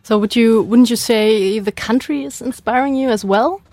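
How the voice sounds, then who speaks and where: A woman's voice: on the high side, normal speed, a little mellow, dry recording. A woman with a German accent hosting a casual radio interview.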